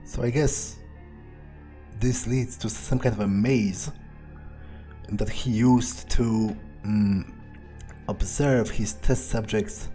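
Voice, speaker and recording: A man role-plays a wary vampire thinking aloud through a video game. His mellow voice moves slowly and hesitantly, musing over what he finds, with faint music behind. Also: lowish voice, fairly even intonation. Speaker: gamer playing in character. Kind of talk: in-character game playthrough commentary, thinking aloud.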